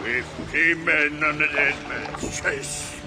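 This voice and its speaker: A man's voice: a little high, slow pace, music in the background. A man playing a drunken, menacing pirate sailor with a slurred, rowdy delivery in an adventure audio play. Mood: drunken singing.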